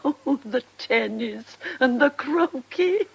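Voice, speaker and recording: An actress playing an elderly genteel former stage star, theatrical and gracious, with an upper-class English accent and a husky, breathy voice, in a British radio drama. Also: female voice, high-pitched, expressive, slow, heavily acted, poor audio. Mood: wistful nostalgia. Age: 60s or older.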